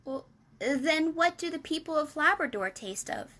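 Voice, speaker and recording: A woman's voice: high-pitched, lively intonation, normal speed, a bit bright, voice pushed up. A woman voicing a polite, curious, plucky little girl in a children's storybook reading. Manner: questioning, polite.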